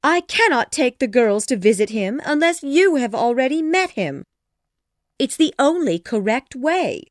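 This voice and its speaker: Woman acting an excitable, high-pitched, nagging storybook wife. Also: unhurried, no echo, clean studio sound. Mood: shocked, pleading. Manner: exclamatory, impatient, dramatic. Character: excitable, nagging middle-aged wife and mother, easily flustered.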